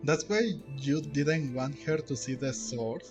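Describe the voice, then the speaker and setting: A man's voice: medium pitch, measured pace. A man streaming a game, giving casual commentary in short remarks as he reads and reacts. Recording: faint game music behind.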